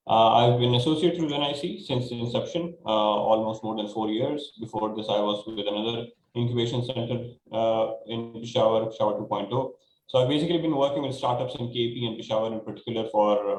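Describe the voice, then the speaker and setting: Lowish Pakistani man in an echoey room. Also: darkish tone. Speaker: marketing manager panelist. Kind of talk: informal self-introduction on a panel. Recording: echoey room with faint noise.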